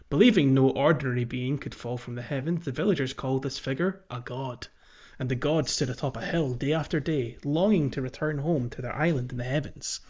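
A man with a mid-pitched voice hosts a gaming podcast in a casual, conversational style. The recording is a little dull and lo-fi. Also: a little mellow. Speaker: podcast host. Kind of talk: casual game walkthrough chat, some reading aloud.

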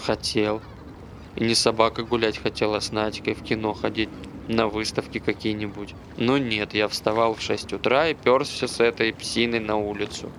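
A man's voice: fairly low pitch, flat delivery, moderate pace, fairly bright tone, slight background noise. An actor playing a bitter, aggrieved man who hates dogs, grumbling conversationally in a naturalistic stage play.

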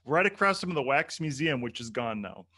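A man's voice: mid-range voice, big pitch swings, normal speed, pushed and bright, husky. A man hosting a podcast, friendly and joking in casual interview chat with some hesitant fillers. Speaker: podcast host.

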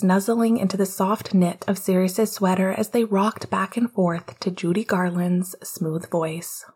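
A woman reading fiction aloud as a scripted narration, with a husky, breathy voice and a soft, gentle storytelling manner. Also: mid-range voice. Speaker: podfic reader.

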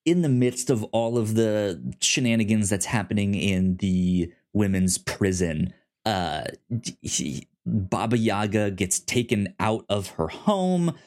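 A man's voice: lowish voice, animated, slow, a little mellow. A man hosting a podcast, retelling a comic plot in loose casual chat, often stuttering into repeated syllables. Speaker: podcast host. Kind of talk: casual comic book discussion.

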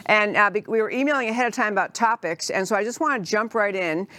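A woman's voice: medium pitch, quick pace, pushed and bright, no room sound. A woman hosting a talk show, introducing her guest with chatty enthusiasm and frequent fillers. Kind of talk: interview show introduction and questions.

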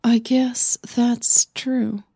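A woman voicing a guarded, hesitant young singer in a hushed, breathy way for an audio drama. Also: on the high side, little pitch movement, drawn-out words, dry recording, voice pushed up, exaggerated voice. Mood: subdued, evasive.

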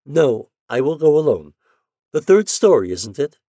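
A high, breathless, jealous young wife whose voice swoops up and down with worry, as a man puts her on. The sound is dry and close, with no room around it. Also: very animated, measured pace, warm tone, no room sound. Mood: agitated. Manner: high, breathless, emotional delivery.

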